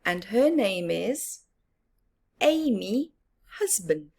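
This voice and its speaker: A woman reading a picture book aloud to children with expressive, drawn-out emphasis and asides about the story. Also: mid-range voice, gravelly, breathy edge. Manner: animated, drawn-out emphasis with whispered words.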